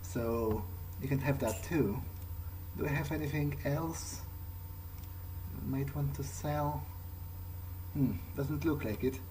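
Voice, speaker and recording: A man improvising an in-character video game playthrough, speaking haltingly as he thinks aloud. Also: mid-range voice, mellow, a little hiss or hum, low-quality recording.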